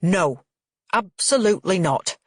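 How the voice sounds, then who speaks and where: Lady reading as angry fed-up guy, snappy n accusing, slightly husky, dry sound. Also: fairly high pitch, lively intonation, slow pace, dry recording, pitched above the performer's own voice. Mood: angry, snapping. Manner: forceful, accusatory, weary. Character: angry, exasperated, snapping grown man, narrator's brother.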